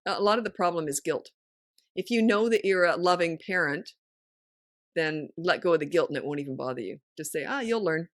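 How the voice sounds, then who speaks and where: Woman, middle-aged, lowish voice, blunt no-nonsense parenting coach, dry close sound. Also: expressive, fairly quick, no echo. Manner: blunt, no-nonsense, laughs often. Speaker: parenting coach and podcast host. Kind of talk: casual advice chat.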